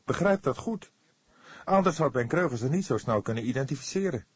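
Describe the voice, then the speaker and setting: A man's voice: mid-range voice, animated, brisk, slightly rough, no echo, pitched above the performer's own voice. A man voicing an eager, impatient younger police detective who speaks in a heated, insistent way.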